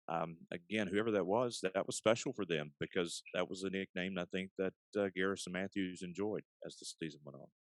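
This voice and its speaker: Man, very low voice, dry close recording, measured explanatory interview talk. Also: smooth, no room sound. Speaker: sports arena announcer being interviewed. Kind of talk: conversational interview answers.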